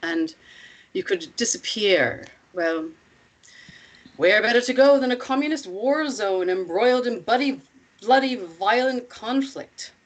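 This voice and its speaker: Woman acting a measured, self-assured older matriarch. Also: fairly high pitch, expressive, low-quality recording. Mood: grave, reflective. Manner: measured, deliberate, rhetorical questioning. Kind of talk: dramatic table read of a script.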